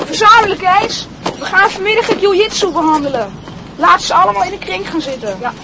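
A woman's voice: very high-pitched, expressive, brisk, low-quality recording. A woman acting a cocky, scheming teenage schoolboy in a radio play, with a brash, bossy manner. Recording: loud crowd noise behind.

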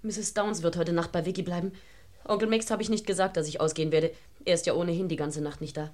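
A woman's voice: lowish voice, steady tone, fast, a little mellow, close to an everyday voice. Anxious, polite young woman, played by an actress. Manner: nervous, earnest, polite. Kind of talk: German radio crime drama acting.

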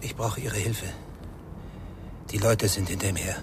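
An actor playing a grave, secretive man protecting a fugitive, terse and breathy, in a radio drama. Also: lowish voice, fairly even intonation, a little slow, background noise, close to an everyday voice. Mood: guarded, urgent. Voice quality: very husky, breathy. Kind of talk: German radio drama acting.

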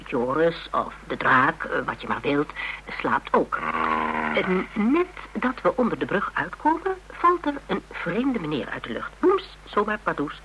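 Bright-voiced, spirited heroine. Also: female voice, low voice, heavily acted. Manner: playful, dramatic storytelling, self-mocking. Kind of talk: Dutch radio drama dialogue. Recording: hummy, noisy old radio recording.

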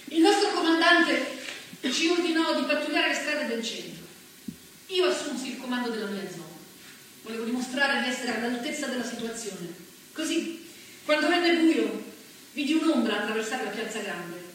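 A woman's voice: high-pitched, lively intonation, moderate pace, a bit bright. An actress playing a fierce, proud working-class partisan woman, telling her story emphatically in a stage monologue. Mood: tense, determined. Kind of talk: theatrical monologue, dramatic first-person storytelling. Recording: very echoey, distant stage recording, faint noise.

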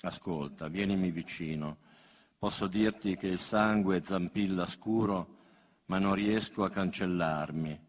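A man reciting poetry in a solemn, grave, measured and intimate way. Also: deep voice, slight background noise. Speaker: poet reading own work. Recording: long hall reverb.

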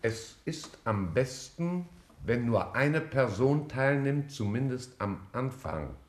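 Animated, commanding male scientist. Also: mid-range voice, slow, roomy sound. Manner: directive, emphatic. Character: commanding, excitable male scientist directing colleagues. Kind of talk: 1950s German radio drama acting. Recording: 1950s radio play with music.

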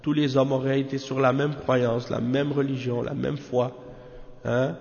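Gravelly male religious teacher in reverberant sound. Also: medium pitch, level tone, a little slow, a little mellow. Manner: didactic, repeats phrases for emphasis, rhetorical check-ins. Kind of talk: extemporaneous religious lesson explaining a commentary. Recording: muffled, long hall-like reverb.

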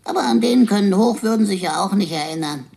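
A woman's voice: mid-range voice, lively intonation, quick pace, creaky, a little mellow, breathy edge. A woman playing a cheeky, mouthy robot sidekick in a radio drama, switching between flowery mock deference and sarcastic insults. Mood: sarcastic, teasing.

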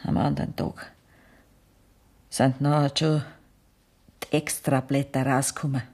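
A woman's voice: very deep voice, little pitch movement, measured pace, a little mellow, full character voice. An actress playing the anguished middle-aged mother of an outlaw, telling her story in Bavarian dialect in a radio play.

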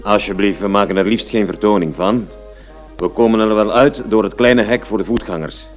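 An actor playing a stubborn, gruff working man in a radio play, with a curt and brusque manner. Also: on the low side, normal speed, faint music behind, close to an everyday voice. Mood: impatient.

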